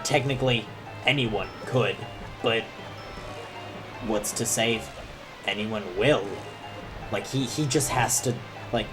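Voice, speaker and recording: A young man who is sick, talking in a rambling, self-deprecating way with many fillers as he comments on a video game. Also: mid-range voice. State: sick, deep voice. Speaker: gaming commentator. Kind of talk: let's play commentary.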